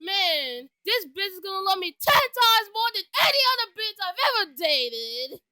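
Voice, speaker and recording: Someone doing a very high, excitable cartoon tomcat. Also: normal speed, no echo, full character voice. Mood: excited. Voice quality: high-pitched, piercing. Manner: excitable, stammering, crude. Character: lovesick, boastful, foul-mouthed cartoon tomcat. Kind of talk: improvised comedic cartoon fandub.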